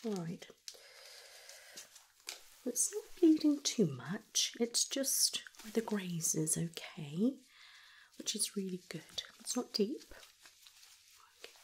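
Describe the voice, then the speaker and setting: A woman, speaking in a soft, often whispered voice, soothes and reassures as she tends a graze in an ASMR first-aid roleplay. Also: medium pitch, very animated, takes it slowly, pauses often, slight background noise, poor audio. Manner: soothing, reassuring, gently shushing. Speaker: carer tending a child's graze.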